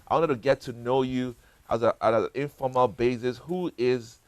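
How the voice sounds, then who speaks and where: A man hosting an interview show, asking questions in a relaxed, affirming way and often adding short reactions. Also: medium pitch, normal speed, dry recording. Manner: warm-hearted, affirming, interjects "wow" often. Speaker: TV show host.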